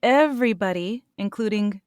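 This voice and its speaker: A woman voice actor answering interview questions in an earnest, animated way, laughing now and then. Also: mid-range voice, normal speed, clear, resonant tone, no room sound, studio quality. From United States. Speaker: voice actor guest.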